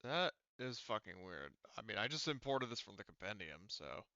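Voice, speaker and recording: A male game master speaks casually in a crisp, bright voice. The audio is dry and slightly dull, as over an online call. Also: lowish voice, sing-song, normal speed, dry recording. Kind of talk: casual chat while running an online game.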